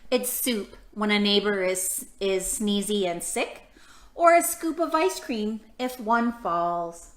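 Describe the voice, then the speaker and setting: A woman hosting a children's storytime, reading a picture book aloud and chatting to young listeners with gentle questions. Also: medium pitch, slow. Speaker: children's storytime host and librarian. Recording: echoey room sound.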